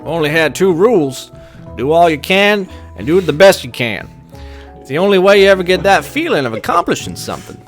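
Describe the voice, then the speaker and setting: A man's voice: a little high, sing-song, fairly quick, voice pushed up. A man voicing a courteous, earnest young culinary student in a folksy, proclaiming way for a video game. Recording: music behind.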